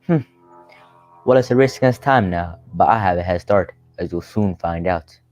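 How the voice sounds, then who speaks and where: A man playing a smug, scheming gentleman villain in a radio mystery, taunting with mock politeness. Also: low voice, moderate pace, dry recording, soft background music, lightly acted. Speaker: actor in a student radio drama. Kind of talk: old-time radio mystery acting.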